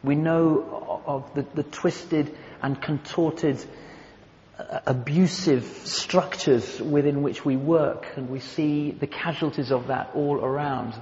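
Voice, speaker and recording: Preacher in an echoey hall. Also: male voice, a little high, steady tone, takes it slowly, a little mellow, a little hiss or hum. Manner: conversational, thoughtful, searching for words. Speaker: pastor. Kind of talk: sermon. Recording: echoey hall, dull lo-fi sound.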